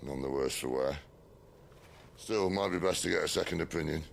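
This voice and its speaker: Very deep, gravelly British rogue. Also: male voice, slight background noise, exaggerated voice. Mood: sly, teasing. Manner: wry, unhurried teasing. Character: roguish middle-aged male outlaw leader, wry and self-assured. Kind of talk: video game cutscene acting.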